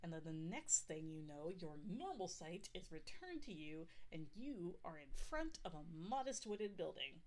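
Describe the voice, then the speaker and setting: A woman's voice: lowish voice, very animated, moderate pace, hardly any pauses, darkish tone, rough recording. A woman playing a possessive, doting girlfriend who game-masters a fantasy tabletop story in an audio roleplay, gushing and insistent. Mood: storytelling. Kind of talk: audio roleplay, ASMR, playful narration.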